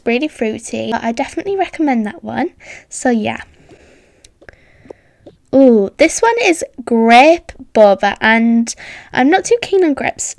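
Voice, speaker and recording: A woman's voice: a little high, darkish tone, no echo. A woman hosting a voiced roleplay vlog, bubbly and chatty, reacting with gasps and hums. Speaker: roleplay channel host.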